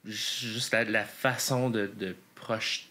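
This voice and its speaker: A man with a lowish, bright, forceful voice. He is a band member answering interview questions, thoughtful and a little halting. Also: steady tone.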